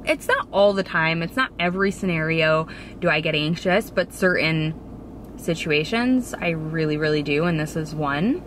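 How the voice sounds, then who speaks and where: A woman's voice: deep voice, normal speed, a bit bright. A woman in her thirties chatting to camera from a parked car, talking through her nerves and quoting the people around her in a self-deprecating way. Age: 30s. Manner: chatty, self-deprecating, quotes herself and others. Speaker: vlogger. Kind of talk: casual chat to camera. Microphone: camera on the dashboard. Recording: car interior with background noise.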